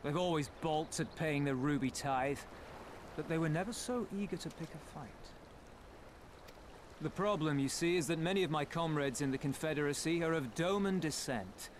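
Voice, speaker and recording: An actor voicing a sly, sardonic pirate officer in a video game, smoothly courteous and wry. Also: male voice, on the high side, background noise, lightly acted. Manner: mock-polite, wry, eloquent.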